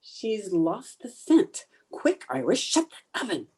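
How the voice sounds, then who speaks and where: A woman's voice: medium pitch, very animated, slow pace, noisy background. A woman playing a scatterbrained, wisecracking 1950s housewife in an old-time radio sitcom, with dry sarcasm and comic exasperation. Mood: sarcastic, panicky. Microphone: home microphone over video call.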